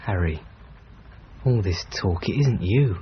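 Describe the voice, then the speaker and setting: An actor in a radio drama playing a loyal, idealistic middle-aged travelling companion, earnest and pleading. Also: male voice, deep voice, animated, slow pace. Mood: disbelieving. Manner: earnest, urging delivery. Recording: dull, slightly noisy radio recording.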